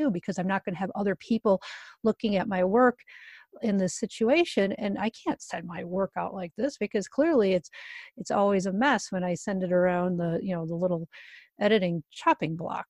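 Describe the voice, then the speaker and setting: A woman's voice: medium pitch, a little fast, a little mellow, dry recording, studio quality. A woman telling a personal story casually as an interview guest, often restarting her sentences and acting out what people said. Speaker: podcast guest, writer.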